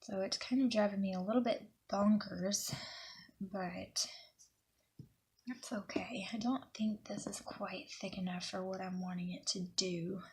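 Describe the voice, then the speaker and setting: A woman from Alabama, chatting casually while decorating a planner, sniffly, rambling and dropping to soft near-whispers as she works. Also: mid-range voice, fairly even intonation, measured pace, husky. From Alabama, USA. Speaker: planner vlogger. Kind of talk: casual crafting talk to camera.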